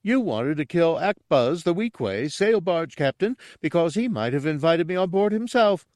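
High-pitched, dim-witted alien brute. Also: male voice, sing-song, normal speed, a little mellow, dry recording, studio quality. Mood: excited, accusing. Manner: broken simple grammar, eager, emphatic. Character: dim-witted, excitable, childlike brutish alien guard, male.

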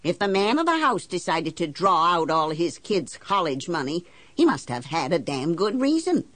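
A woman's voice: fairly low pitch, sing-song, moderate pace, fairly bright tone, no room sound. A woman playing a blunt, embittered working-class island housewife who tells her story in folksy, ungrammatical country talk for an audiobook. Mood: weary, resentful. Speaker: audiobook narrator. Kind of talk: first-person storytelling in character.

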